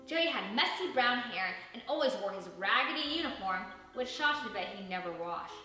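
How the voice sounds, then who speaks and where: A woman narrating a children's storybook in an expressive, animated, dramatic way. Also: fairly high pitch, moderate pace. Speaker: storybook reader. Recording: echoey room, distant mic, faint music.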